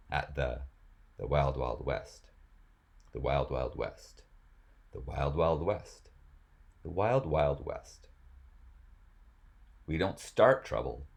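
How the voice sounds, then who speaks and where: A man's voice: extremely low pitch, creaky. A man reading rap lyrics aloud as spoken word, as host of the reading.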